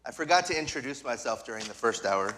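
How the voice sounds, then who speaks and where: Bright-voiced man preaching in church. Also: medium pitch, animated, low-quality recording. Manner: speaks in short phrases, pausing for the interpreter. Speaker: guest preacher. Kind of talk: sermon in English with live interpretation. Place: church sanctuary.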